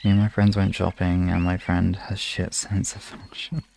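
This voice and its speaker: A man with a gravelly, husky voice chatting casually to live-stream listeners in an informal, halting way with some swearing. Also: low voice, level tone, darkish tone.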